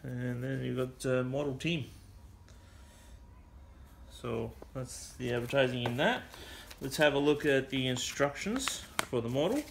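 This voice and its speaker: Slow, gravelly man with noisy background. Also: medium pitch. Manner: unhurried, rambling, with frequent fillers. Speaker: toy collector reviewing a vintage building set. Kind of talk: casual show-and-tell commentary. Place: cluttered indoor toy room. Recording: noisy home video.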